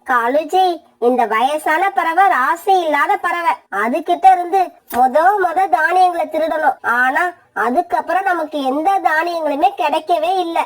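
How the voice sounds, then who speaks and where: A woman's voice: very high voice, unhurried. A woman voicing a selfish, thieving female crow in a cartoon moral story, scheming and demanding. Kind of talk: animated moral story dialogue.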